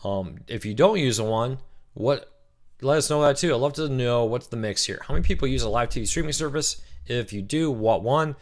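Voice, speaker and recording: Man hosting a live Q&A, slightly rough voice. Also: medium pitch, moderate pace. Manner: chatty, reads out viewer comments and greets them by name. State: voice a little rough from cheering. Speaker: host of a live Q&A stream. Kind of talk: casual live-stream Q&A.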